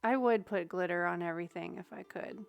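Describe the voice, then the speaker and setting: Rambling soft-spoken woman streamer. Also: on the low side, fairly quick, creaky, smooth, no room sound, soft background music. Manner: rambling, soft-spoken, thinking aloud with many fillers. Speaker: streamer giving tarot readings. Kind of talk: casual livestream chat with viewers.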